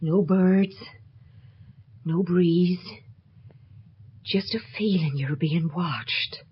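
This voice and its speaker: A fearful, protective village wife, a woman. Her voice is very husky and she speaks slowly, in the manner of an old radio play with a dull sound. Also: fairly low pitch, full character voice. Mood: uneasy. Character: anxious, fearful, protective rural English wife. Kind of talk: 1970s radio drama acting.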